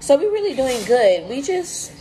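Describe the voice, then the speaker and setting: A young woman vlogging from a dorm room on a handheld phone, chatty and rambling, her voice hoarse and worn out. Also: sits high, big pitch swings, normal speed. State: very tired. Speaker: vlogger at cheer camp. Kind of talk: casual selfie vlog chat. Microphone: phone held close at arm's length. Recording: noisy phone recording.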